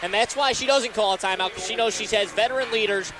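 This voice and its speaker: A man's voice: high voice, quick pace. A young man calling live volleyball play-by-play as a radio announcer.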